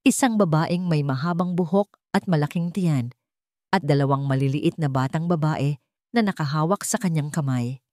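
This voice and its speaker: Female storyteller, low register, close dry sound, for scripted romantic drama narration. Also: normal speed, a little mellow, no room sound.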